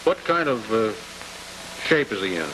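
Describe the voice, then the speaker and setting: A man's voice: fairly low pitch, sing-song, normal speed. American TV interviewer, man, noisy old broadcast. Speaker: interviewer, television host. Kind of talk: 1950s television interview. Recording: noisy, hissy 1950s television broadcast.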